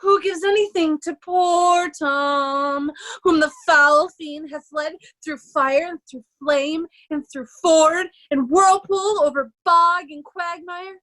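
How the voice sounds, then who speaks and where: A woman playing a raving mad beggar in an amateur Shakespeare reading, wild and chanting with drawn-out nonsense. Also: very high voice, a bit bright, full character voice, rough recording. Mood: raving, tormented. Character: Edgar feigning a raving mad beggar, Poor Tom.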